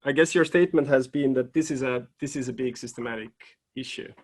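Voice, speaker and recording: A man's voice: medium pitch, quick pace. A man moderating a conference keynote, speaking in a friendly way with hesitant fillers. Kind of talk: panel moderation.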